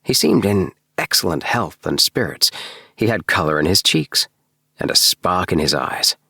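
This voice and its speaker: A man narrating a bedtime story in a measured, expressive storytelling manner, recorded in a small booth. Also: deep voice, gravelly, husky, dry recording, clean studio sound. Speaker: audiobook narrator.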